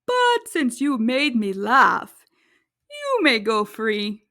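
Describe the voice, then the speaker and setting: A woman's voice: on the high side, big pitch swings, slow, pushed and bright, full character voice. A woman voicing a huge, proud male lion in a children's storybook, with a hearty, grand, gracious manner. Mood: amused. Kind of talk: children's storybook read-aloud.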